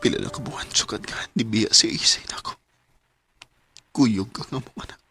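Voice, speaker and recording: A man with a Cebuano Filipino accent acting an anguished young husband and father in a radio drama, with a husky voice. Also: fairly low pitch, moderate pace, bright, forceful tone, soft background music. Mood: grieving, hushed.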